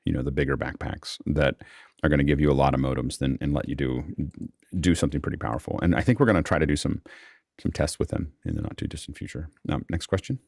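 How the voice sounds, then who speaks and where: A man's voice: extremely low pitch, quick pace, creaky, darkish tone, no room sound, clean studio sound. A man hosting an online panel, explaining technical things casually in a rambling way with repeated phrase openings. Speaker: host of an online panel discussion.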